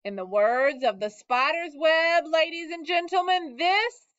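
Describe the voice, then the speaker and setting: A pompous loudspeaker announcer at a country fair, put on by a woman, booming and grand. The voice sits high, loud and slow, stretching every word. The recording is dull and lo-fi, with a dry sound. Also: no echo.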